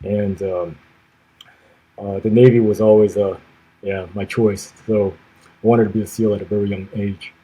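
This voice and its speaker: A man in his thirties, speaking in a low, mellow and slightly husky voice. He answers at an easy pace, filling gaps with "uh" and dropping to a quiet finish at the end of his phrases. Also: little pitch movement, low-quality recording. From grew up in the United States. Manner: measured, many "uh" pauses, trailing off softly at phrase ends. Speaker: podcast guest, former navy officer. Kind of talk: conversational interview answers.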